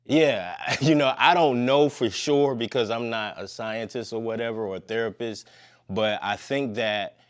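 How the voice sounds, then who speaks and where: A man's voice: medium pitch, normal speed. A man in his fifties talking as a podcast guest in a laid-back, slangy, long-winded way. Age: 50s. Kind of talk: casual conversation.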